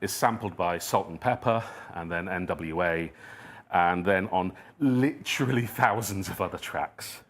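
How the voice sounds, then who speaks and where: Low-voiced man giving a reflective talk. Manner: reflective storytelling, wry asides. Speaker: speaker giving a talk, writer of plays. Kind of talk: prepared talk with personal asides.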